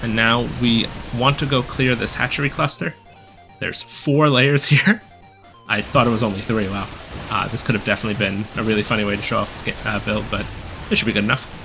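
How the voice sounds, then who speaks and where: A man calmly explaining a game strategy while he plays, a little hoarse from a cold, with many filler ums. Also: on the low side, brisk, music in the background. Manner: relaxed, explanatory, frequent ums. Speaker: gameplay guide commentator. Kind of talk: casual gameplay commentary.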